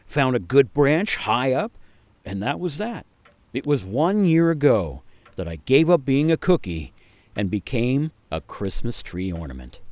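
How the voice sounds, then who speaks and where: An actor playing a haunted gingerbread cookie who tells a grim cautionary tale in a suspenseful, theatrical radio monologue with deliberate pauses. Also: male voice, on the low side, a little mellow. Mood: proud. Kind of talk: dramatic monologue for radio storytelling.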